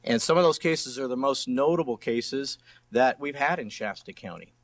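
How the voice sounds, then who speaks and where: Middle-aged man, mid-pitched voice, plain-spoken, restarts phrases, district attorney candidate in a political debate. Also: animated, moderate pace.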